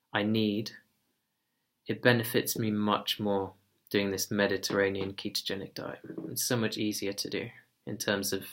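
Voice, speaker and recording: A man giving a casual personal update in a slow, monotone, calm voice. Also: lowish voice. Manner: soft-spoken, relaxed, occasional quiet laughs. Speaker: vlogger.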